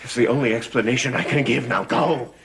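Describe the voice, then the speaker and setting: An actor playing a tormented, pleading master of a cursed house in a theatrical gothic soap, on an old TV soundtrack. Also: male voice, mid-range voice, fairly even intonation, brisk, natural voice. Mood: shaken, brooding. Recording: old TV soundtrack under loud music.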